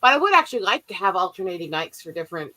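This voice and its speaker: Lively, bright-voiced woman chatting bluntly. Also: lowish voice, rapid-fire, poor audio. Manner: blunt, profane, animated. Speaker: stream co-host. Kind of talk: casual livestream chat. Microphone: streaming mic, remote call.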